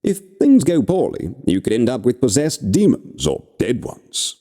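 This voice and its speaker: A knowing spirit voice, as a man puts it on, dry and explanatory with cautioning asides. It sits higher than the man's own deep voice and swings widely in pitch, with a long reverb around it. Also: wildly swooping pitch, a little slow, warm tone. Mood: wry. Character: wry spirit voice in the mind, knowing adviser.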